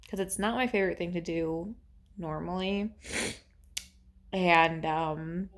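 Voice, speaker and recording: Young woman bookish vlogger, slightly low voice, rambly and casual, soft hushed moments. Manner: chatty, rambling, many "like"s and "um"s. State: congested. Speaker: book vlogger. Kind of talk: casual reading vlog chat.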